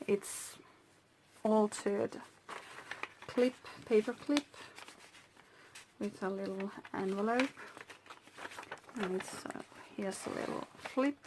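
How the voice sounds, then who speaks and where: A Finnish-native woman hosting her own craft channel, talking through a handmade journal slowly, with pauses and soft whispered asides. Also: mid-range voice, a little hiss or hum. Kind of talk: casual crafting flip-through.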